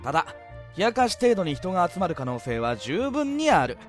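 A woman playing an easygoing, scheming older high school boy, breezy and glib, in a youth comedy audio drama. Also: big pitch swings, moderate pace. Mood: glib, upbeat. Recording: light music behind.

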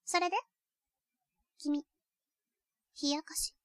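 A woman playing a small, blunt, aloof second-year high school girl with a curt, bossy way of talking, in a youth voice drama. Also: steady tone, slow, fairly bright tone, no echo, studio quality. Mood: curt, challenging. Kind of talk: Japanese youth romantic comedy voice drama.

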